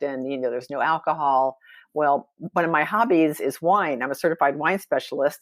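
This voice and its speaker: A woman's voice: sits low, no room sound. A woman in her sixties, a podcast guest, telling her story in a chatty, upbeat way with lots of fillers and restarts. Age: 60s. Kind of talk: casual storytelling interview.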